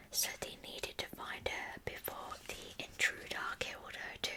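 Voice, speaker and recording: A woman narrating a true crime story as ASMR, in a breathy, unvoiced whisper close to the microphone with slow, deliberate storytelling. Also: pushed and bright, poor audio.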